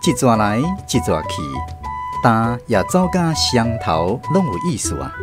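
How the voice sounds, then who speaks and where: A man with a Taiwanese accent narrating a literary radio drama in a folksy, expressive storytelling style. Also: mid-range voice, unhurried. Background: instrumental music.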